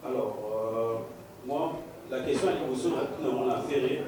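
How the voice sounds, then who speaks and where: A man's voice: mid-range voice, unhurried, background noise. A man, a journalist asking a long, formal question at a press conference. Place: large echoey conference hall. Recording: echoey hall, distant mic, crowd.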